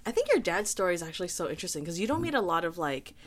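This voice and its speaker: A woman's voice: lowish voice, sing-song, rapid-fire. A woman from Canada hosting a podcast, chatting casually with a guest and teasing him with frequent laughs. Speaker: podcast host. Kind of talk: casual interview chat.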